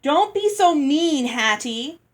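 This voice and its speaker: A woman's voice: sits high, animated, slow, voice pushed up. A woman voicing a whiny, covetous younger girl from a storybook, pleading and insistent. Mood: demanding, whining.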